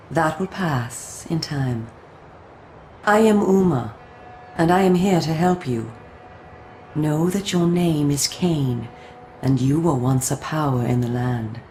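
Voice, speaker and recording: An actress voicing a solemn, coolly persuasive female vampire resistance agent in a video game, speaking in a formal, measured, theatrical way. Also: low voice, mellow, reverberant, a little hiss or hum. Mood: calm, reassuring. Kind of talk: scripted video game cutscene acting.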